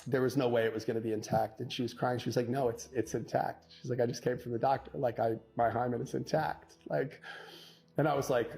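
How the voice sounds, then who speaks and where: A man tells a story conversationally, like a lawyer relishing a courtroom tale. He acts out both sides of the dialogue and pauses for effect, with music faintly behind his mid-pitched voice. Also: fairly even intonation, gravelly, a little mellow. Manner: punchy, acts out quoted dialogue with dramatic pauses. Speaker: divorce lawyer telling an anecdote.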